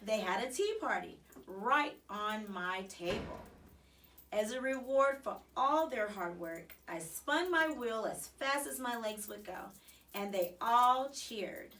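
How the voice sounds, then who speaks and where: A woman reading a children's story aloud in an expressive, animated way with dramatic emphasis. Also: on the high side, takes it slowly, far from the mic. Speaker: storybook reader.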